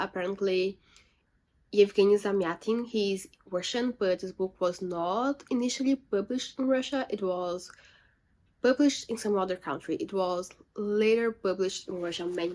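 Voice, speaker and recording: A young woman chatting casually about her books in a room lined with bookshelves, rambling and correcting herself as she goes. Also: mid-range voice. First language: not French. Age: young adult. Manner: chatty, self-correcting, animated asides. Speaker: book vlogger. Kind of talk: casual chat.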